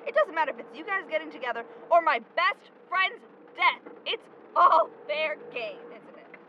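A woman acts a betrayed young woman in an audio drama, biting and sarcastic. Her high voice swings widely in pitch, over clear vehicle noise. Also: expressive, normal speed, bright, forceful tone, heavily acted. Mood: furious, sarcastic. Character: Rhea, hurt, sharp-tongued young woman feeling betrayed. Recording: dull, noisy lo-fi recording.

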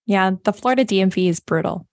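A woman's voice: mid-range voice, fast, darkish tone, no echo. A woman co-host with a middle-range voice chats playfully and laughs often. Her voice is recorded dry and close, with no room sound. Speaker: podcast co-host. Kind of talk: casual chat and guest introduction.

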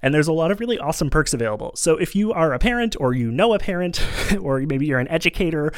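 A man from Canada co-hosting a podcast, chatting casually in a relaxed, rambling way and chuckling often. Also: on the high side, fast, dry recording, clean studio sound. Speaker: podcast co-host.

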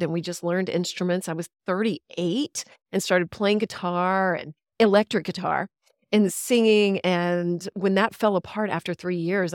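Brief: woman, 50s, from Central Texas, lowish voice, dry close sound, reflective and chatty guest. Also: no room sound. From Central Texas, USA. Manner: reflective, chatty, with easy laughs. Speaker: podcast guest, radio veteran. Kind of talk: casual interview storytelling.

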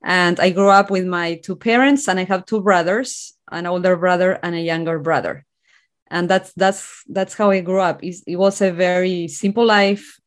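Lower-pitched Argentine-accented woman. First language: Spanish. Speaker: podcast guest. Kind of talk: conversational personal storytelling.

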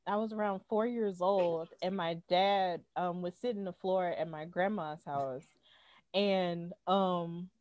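A woman's voice: lowish voice, no room sound. A woman in her twenties from Alabama, a podcast guest chatting casually, upbeat and laughing often as she tells stories. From Alabama, United States.

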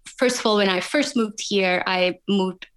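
A woman from Turkey with a Turkish accent, a podcast guest telling her story in a measured, reflective way. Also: medium pitch, clean tone. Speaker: guest, wound healer and coach. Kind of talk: interview answers.

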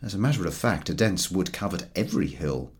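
A man reading a comic travel story aloud as scripted narration, in a dry, drolly expressive way. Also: deep voice, brisk. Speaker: audiobook reader.

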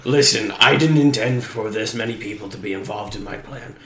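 A man's voice: on the low side, brisk, fairly bright tone. A man playing an earnest, exasperated, hapless bank robber in improvised comedic tabletop roleplay. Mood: earnest pleading. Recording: echoey room with noticeable background noise.

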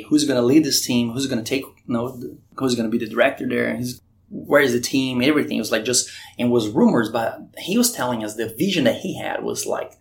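A Brazilian man tells his story of faith in an earnest, retelling manner, speaking English with a Brazilian Portuguese accent. His voice has a gravelly, creaky texture at a mid pitch. A faint hiss of noise sits under the recording. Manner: earnest, retells dialogue with frequent restarts. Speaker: missionary guest. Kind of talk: storytelling testimony.

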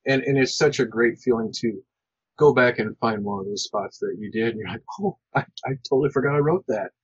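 A man with a husky, medium-pitched voice chats casually as a podcast host. He sounds agreeable and eager to affirm, on a dry, slightly muffled recording. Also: brisk, dry recording. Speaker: podcast host and fellow writer. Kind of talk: casual chat about writing.

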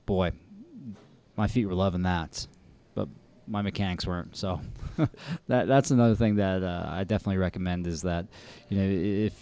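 A man's voice: deep voice, moderate pace, warm tone, clear, resonant tone. A man co-hosting a podcast, talking casually and frankly about trail running shoes, laughing easily. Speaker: podcast co-host.

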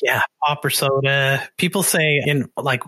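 Bright-voiced Canadian man hosting a podcast. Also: medium pitch, a little slow, no echo. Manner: chatty, rambling, animated storytelling. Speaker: podcast host. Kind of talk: casual conversational interview.

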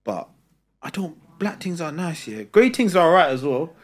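A young man, co-hosting a podcast. He jumps up and down in pitch with lively swings. He talks loud and laughing, full of repeated fillers. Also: moderate pace. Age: 20s. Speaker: podcast co-host. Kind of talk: casual chat.

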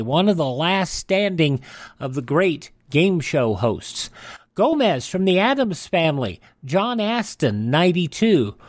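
Male radio host, slightly high-pitched, informal list-reading delivery, dry recording. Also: no echo. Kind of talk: informal radio rundown of on-this-day history and birthdays.

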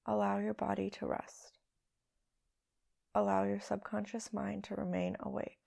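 A woman leading a guided meditation as a podcast host, speaking in a hushed, soothing, unhurried way with long pauses. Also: mid-range voice, monotone, vocal fry.

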